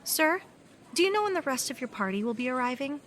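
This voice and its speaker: Woman acting lively, teasing young waitress. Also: sits high, quick pace. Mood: polite, awkward. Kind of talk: comedic radio play acting.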